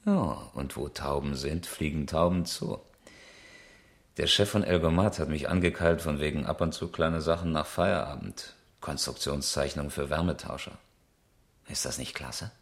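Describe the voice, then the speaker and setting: Low-voiced, self-assured rally driver. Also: male voice, moderate pace. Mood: upbeat, reassuring. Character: engineer and amateur rally driver, proud, insistent husband. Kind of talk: 1970s German radio crime drama acting.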